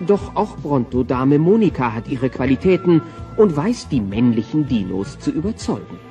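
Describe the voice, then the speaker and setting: A man's voice: mid-range voice, very animated, moderate pace, mellow, far from the mic, soft background music. A man acting as the wry storyteller of a comedy audio play, reading scripted narration.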